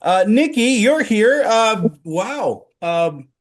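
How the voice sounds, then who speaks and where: A man's voice: high-pitched, big pitch swings, slow. A man hosting an interview, asking the cast questions and laughing along. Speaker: interview host.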